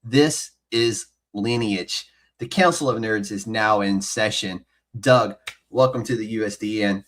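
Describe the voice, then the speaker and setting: A man's voice: on the low side, a bit bright. A man hosting a podcast, who reads a dramatic scripted intro and then chats casually with his guest. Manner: dramatic, measured delivery in the intro. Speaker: podcast host.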